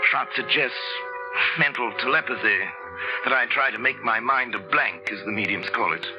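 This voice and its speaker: A man playing a driven, obsessive scientist in an intense, theatrical 1940s radio drama style with dramatic pauses. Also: mid-range voice, music in the background, close to an everyday voice. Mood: brooding, intent. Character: obsessive, driven male scientist keeping a brain alive. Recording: dull vintage 1940s radio recording, loud background.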